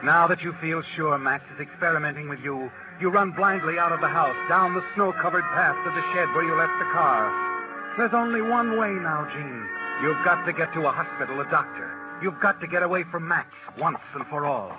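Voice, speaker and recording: An actor playing an ominous narrator, delivering dramatic 1940s radio suspense narration. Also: male voice, a little high, a little fast, close to an everyday voice. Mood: ominous, urgent. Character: ominous male narrator addressing the heroine. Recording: tinny 1940s radio recording, dramatic music.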